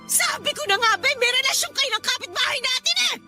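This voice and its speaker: A woman's voice: very high-pitched, animated, quick pace. A woman acting an embittered, nagging mother of a poor household in a radio drama, scolding and accusing. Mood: resentful, angry. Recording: music behind the voice. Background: music.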